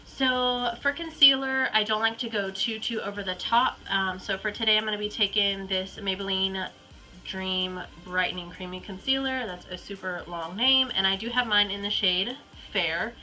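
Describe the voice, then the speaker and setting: A woman's voice: medium pitch, normal speed, pushed and bright, soft background music, rough recording. A young woman with a Southern US accent and a stuffy, congested voice from a cold, chatting casually through a makeup tutorial at home with lots of ums and likes. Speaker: beauty vlogger.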